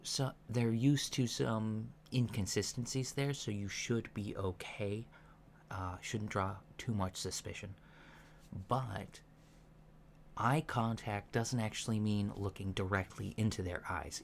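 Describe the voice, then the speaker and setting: A soft-spoken man giving gentle, measured instructions in an ASMR roleplay, pausing often mid-sentence. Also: lowish voice, vocal fry. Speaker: ASMR roleplay performer playing a training instructor. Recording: lo-fi with faint background noise.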